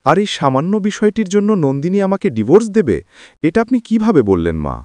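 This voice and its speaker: Cartoon casting: a man as dutiful young husband, earnest, animated intonation, dry studio sound. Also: a little high, quick pace, no echo. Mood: pleading. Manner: earnest, emphatic pleading. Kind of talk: dramatized cartoon voice acting.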